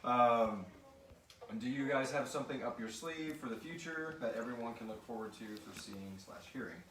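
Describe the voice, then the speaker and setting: A man's voice: medium pitch, moderate pace, a bit bright. Man in echoey room, chatting informally. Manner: rambling, informal, thinking aloud. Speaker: host and show creator reading listener questions. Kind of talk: casual live Q&A chat. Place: live group recording in an echoey room. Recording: echoey room, distant mic, clear background noise.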